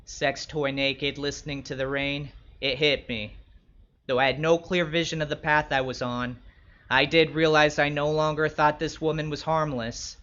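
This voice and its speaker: A man playing a wry, wary young escort who narrates his own story in measured, descriptive literary prose. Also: on the high side, level tone, fairly bright tone, dull audio, lightly acted. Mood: wary, suspicious. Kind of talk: first-person literary narration.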